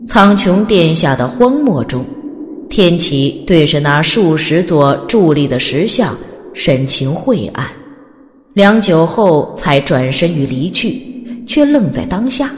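A woman's voice: low voice, big pitch swings, slow pace. A woman reading scripted story narration in a dramatic audiobook style. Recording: long reverb, faint background noise.

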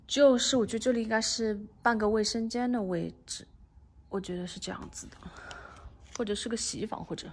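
A woman's voice: fairly high pitch, brisk, slight background noise. A woman real estate agent walking through a house under construction, chatting casually and mixing English terms into her talk. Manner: drops to a soft, near-whispered voice at times. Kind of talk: casual walkthrough tour. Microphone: handheld phone camera.

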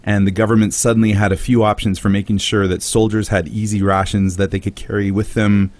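This man sounds a little lower than most, and his voice is very dry and close. He tells a story in casual podcast chat, drops into goofy voices for the people he quotes, and jokes between facts. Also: little pitch movement, a little fast, a little mellow, no room sound. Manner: jokey storytelling, acting out quotes in silly voices. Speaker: podcast host.

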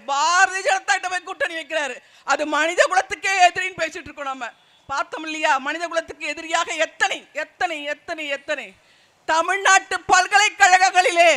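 A man's voice: very high-pitched, bright, forceful tone. A man giving a fiery political rally speech as a party speaker, stringing together rhetorical questions. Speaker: party speaker at a public meeting.

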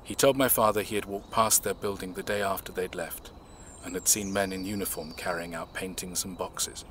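A man acting in an audio drama, playing a reserved, measured man who recounts his family's past in a reflective way. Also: sits low, steady tone, a bit bright, slight background noise.

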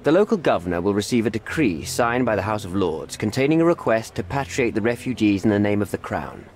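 An actor playing a self-important, cynical middle-aged diplomat in a video game, pompous and self-satisfied. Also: male voice, on the low side, sing-song, brisk, a little hiss or hum. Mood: boastful. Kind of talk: video game cutscene acting.

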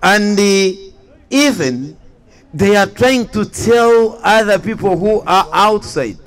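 A man's voice: sits high, animated, slow. A young man giving an emphatic, showy persuasive debate speech into a handheld mic at an outdoor evening event. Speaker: debate participant promoting his college.

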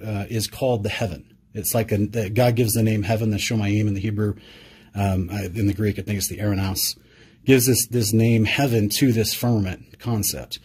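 Husky low-voiced male interviewer. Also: a little mellow. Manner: searching, thinks aloud with many fillers. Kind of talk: casual conversational interview.

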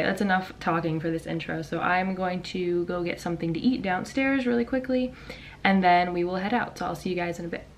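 A young woman vlogging casually from her bedroom, chatty and rambling with lots of ums and likes, her voice raspy from just waking up. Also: on the low side, slight background noise. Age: young adult. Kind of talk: casual vlog chat. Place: bedroom at home. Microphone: camera in front at desk.

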